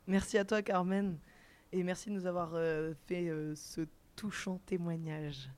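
A woman with a very mellow, slightly low voice hosts a live radio show. She presents at a very unhurried pace, with hesitant phrasing that builds toward each announcement. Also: fairly even intonation. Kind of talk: live presenting before an audience.

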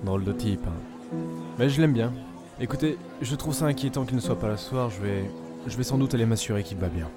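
Man playing kindly innkeeper, medium pitch, hesitant, plain-spoken, radio drama, loud music behind. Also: fairly quick, close to an everyday voice. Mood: concerned. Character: kindly male village innkeeper, mildly worried.

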